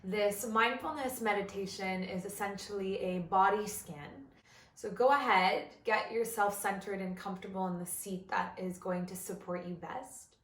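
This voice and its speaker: Woman doing guided meditation, gentle instructions, really slow w long pauses, kinda echoey room. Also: mid-range voice. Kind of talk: guided body scan meditation.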